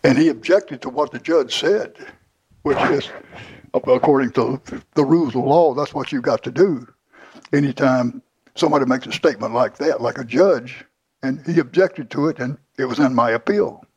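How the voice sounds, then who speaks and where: A man's voice: mid-range voice, normal speed, darkish tone, breathy edge, dry recording, lo-fi sound. An older man telling his life story in an interview, plainly and with many restarts. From Houston, Texas. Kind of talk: oral history interview.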